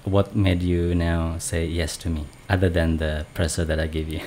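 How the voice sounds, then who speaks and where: A man's voice: deep voice, flat delivery, moderate pace, darkish tone, slight background noise. A middle-aged man with an Indonesian accent hosting a casual podcast chat with his daughter, laughing often and restarting phrases. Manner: chatty, laughs often, many fillers and restarts. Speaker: podcast host. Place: small home studio lined with bookshelves. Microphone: studio mic on boom arm, wearing headphones.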